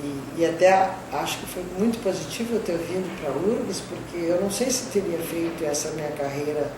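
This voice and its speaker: A woman's voice: on the low side, a little fast. An older woman professor from the southern Brazilian border, with a Gaúcho accent sprinkled with Spanish terms, recalling her career in an interview and tagging sentences with "né?". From southern Brazil border region. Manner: frequent "né?" tags, conversational storytelling. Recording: distant mic, echoey room, clear background noise.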